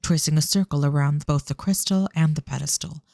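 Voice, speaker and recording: A narrator reading a scripted audio drama in a measured, descriptive storytelling manner. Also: medium pitch, creaky, background noise.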